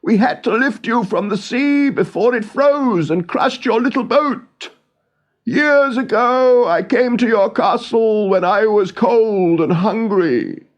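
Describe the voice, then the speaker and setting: High-pitched, courteous giant bird. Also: male voice, takes it slowly. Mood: kindly, solemn. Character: giant, polite, grateful bird. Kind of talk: storybook character voice.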